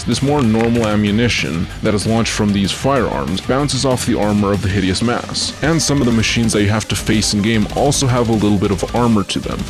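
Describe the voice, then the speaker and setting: Low-pitched man narrating an explainer. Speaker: video creator narrating an analysis.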